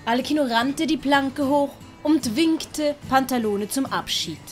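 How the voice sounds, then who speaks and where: A woman's voice: high voice, a little slow. A woman with a Swiss German accent reading a scripted children's story as narrator. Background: dramatic music and sound effects.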